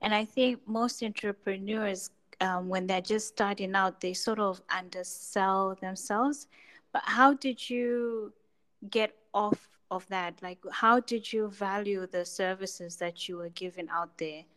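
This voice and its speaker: A woman's voice: mid-range voice, fairly even intonation, a little slow, fairly bright tone. A woman co-hosting a podcast interview, asking a guest direct, friendly questions. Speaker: podcast co-host.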